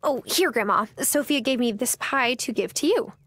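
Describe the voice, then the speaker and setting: High-voiced, earnest young game heroine. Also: female voice, moderate pace, a bit bright, no room sound, clean studio sound. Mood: eager, warm. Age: young adult. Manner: hesitant, eager, conversational. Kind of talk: video game voice acting.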